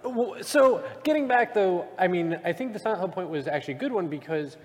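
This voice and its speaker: A man on a live panel at a convention, with a fairly high voice. He argues in an animated, emphatic way. His voice echoes around a large hall. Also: fairly quick.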